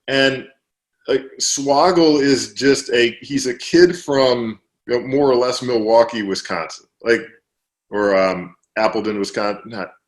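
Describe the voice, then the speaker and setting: A man being interviewed as an author, explaining at length in relaxed conversation, with fillers and restarts. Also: mid-range voice, measured pace, poor audio.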